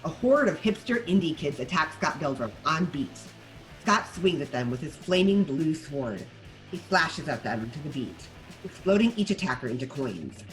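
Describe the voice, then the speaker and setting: A narrator reads out screenplay action in a scripted table read. The voice sits mid-range and moves with lively pitch, over faint music. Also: normal speed, rough recording. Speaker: narrator reading stage directions.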